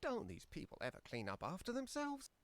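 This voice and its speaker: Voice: medium pitch, wildly swooping pitch, a little fast, no echo. An actor voicing a glum, sarcastic young adventure game hero with a dry, complaining delivery. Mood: sarcastic, annoyed.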